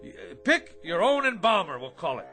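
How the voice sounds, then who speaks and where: An actor playing an eager, pushy hack writer in a radio drama, stammering and excitable, full of streetwise slang. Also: male voice, high-pitched, fairly quick, over music. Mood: elated.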